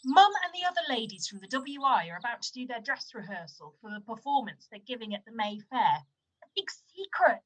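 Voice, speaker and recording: A woman's voice: fairly high pitch, sing-song, a little fast, a bit bright, rough recording. A woman playing an officious, flustered young security officer in a radio pantomime. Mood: flustered, bossy. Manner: self-important, flustered.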